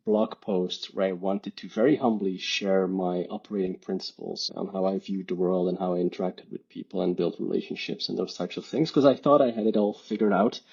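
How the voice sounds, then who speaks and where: A man from Belgium speaks as a podcast guest with a low, gravelly voice that turns husky at times. He talks thoughtfully and hedges, restarting his sentences often. The audio is dull and lo-fi. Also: fairly even intonation, a little fast, hardly any pauses, a little mellow. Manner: thoughtful, hedging, many restarts and fillers. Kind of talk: casual reflective conversation.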